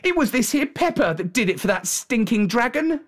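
Man doing high-pitched plucky shepherd boy. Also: very animated, normal speed. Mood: indignant. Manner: plain rustic, ungrammatical speech.

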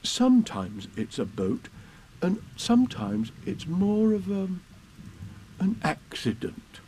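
Storybook bear, slow and humble, unhurried musing delivery, lively lilt, a male reader puts him on. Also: high voice, a little hiss or hum, voice pushed up, full character voice. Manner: slow and ponderous. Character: slow, modest, simple-minded bear.

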